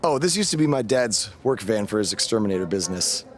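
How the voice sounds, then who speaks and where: An actor playing a modest, wry young underdog wrestler in video game dialogue, speaking casually and a little hesitantly. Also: male voice, on the low side, big pitch swings, fast, slight background noise. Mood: modest, reflective. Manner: casual, hesitant conversational delivery.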